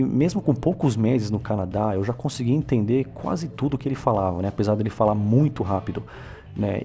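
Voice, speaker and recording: A Brazilian man in his thirties, telling his story in a relaxed, casual way with many filler tags and small self-corrections. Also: mid-range voice, vocal fry. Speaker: vlogger. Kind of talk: casual personal narration to camera.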